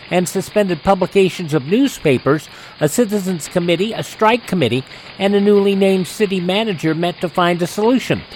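A man's voice: high-pitched, a little hiss or hum, rough recording. A man working as a radio host, reading scripted news stories and announcing a program.

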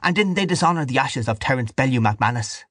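A man playing an impassioned, defiant Irish nationalist in an audiobook, with a forceful, declamatory manner. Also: mid-range voice, expressive, quick pace, husky, no room sound, studio quality, voice pushed up. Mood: indignant.

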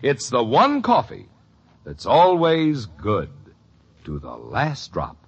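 An American man, a radio announcer delivering an emphatic, persuasive 1950s-style advertising pitch. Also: wildly swooping pitch, slow, noisy background. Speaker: announcer and commercial spokesman. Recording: muffled old radio recording.

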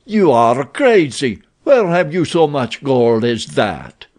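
A man voicing a scornful, sneering male captor who speaks slowly and loudly. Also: a little high, lively intonation, voice pushed up. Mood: wary.

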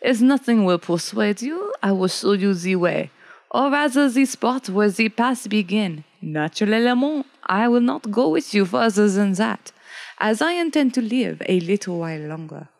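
A woman's voice: medium pitch, animated, normal speed, vocal fry, darkish tone. A woman voicing a resigned, ominous frontier guide in a storybook tale.

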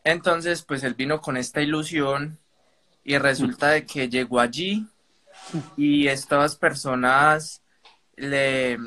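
A man's voice: fairly high pitch, steady tone, slow, sharp tone. Colombian man explaining things in casual conversation. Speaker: caller on a live stream. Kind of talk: casual spoken account.

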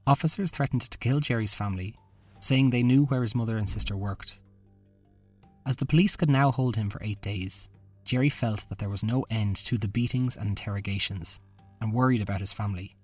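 Low-voiced man narrating over music. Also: a little fast, darkish tone. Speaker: podcast host. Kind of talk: scripted true-crime narration.

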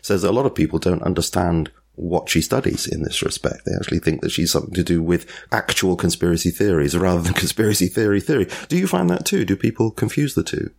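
Deep gravelly male podcast host. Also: animated, a little mellow. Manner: measured, thoughtful questioning with frequent murmured assent. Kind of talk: interview.

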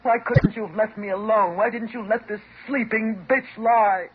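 An actress playing a bitter, wounded divorced housewife, sarcastic and dramatic, in the style of 1950s radio drama. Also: female voice, on the high side, fairly even intonation, normal speed, lightly acted. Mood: reproachful. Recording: muffled, noisy 1950s radio recording.

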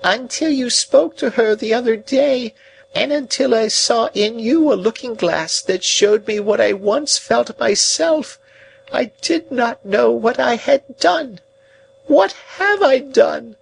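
A man voicing a heartbroken, despairing old woman who lives as a recluse, with slow, wailing, pleading cries. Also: very high-pitched, expressive, gravelly, slight background noise, pitched above the performer's own voice, heavily acted, rough recording.

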